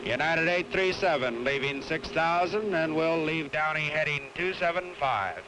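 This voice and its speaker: A man acts as an airline pilot with a fairly high voice, making terse, procedural radio calls. He stays unemotional throughout. Loud engine drone fills the vintage film recording. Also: expressive, moderate pace, noisy background, close to an everyday voice. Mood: businesslike, calm. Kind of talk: clipped radio phraseology.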